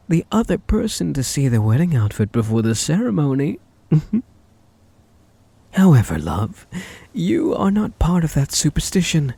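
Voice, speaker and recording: A man performs an obsessive male kidnapper in a close, dry ASMR roleplay. His soft, dark voice is husky and slides from syrupy endearments into giddy menace. He is possessive and taunting, relishing his control. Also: a little high, no room sound, heavily acted. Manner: cloying endearments, mock-sweet taunting, swinging into giddy mania. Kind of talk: ASMR roleplay, intimate dramatic monologue.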